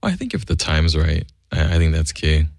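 Man radio co-host, very low gravelly voice, laid-back joker. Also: wildly swooping pitch, a little mellow, no echo, studio quality. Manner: laid-back, joking, halting restarts. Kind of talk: casual radio chat.

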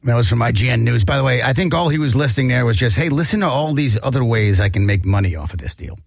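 Brief: male host, gravelly, wry and sarcastic, laughs a lot, dry studio sound. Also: mid-range voice, animated, fast, dry recording. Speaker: podcast host. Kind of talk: casual comedic news chat.

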